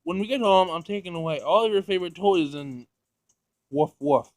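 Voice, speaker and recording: A shameless, short-tempered dog owner from a visual novel, acted by a man reading aloud, scolding and exclamatory. The voice sits a bit high and the sound is dry. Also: lively intonation, moderate pace, a little mellow, no room sound. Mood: exasperated, scolding. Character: shameless, irritable perverted man walking his dog.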